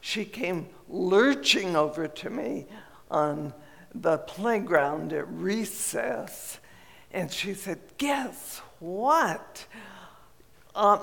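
Slow raspy older woman in a hall. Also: medium pitch. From Colorado. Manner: slow, gentle reminiscing with frequent pauses and fillers. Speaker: storyteller. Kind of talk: personal storytelling.

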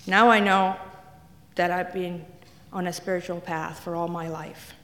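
A woman's voice: on the low side, fairly even intonation, a little slow, a bit bright, a little hiss or hum. A woman from Italy, telling wry, self-deprecating stories as a speaker in a church. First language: Italian. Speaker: guest speaker. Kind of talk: humorous personal testimony talk. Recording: echoey hall reverb.

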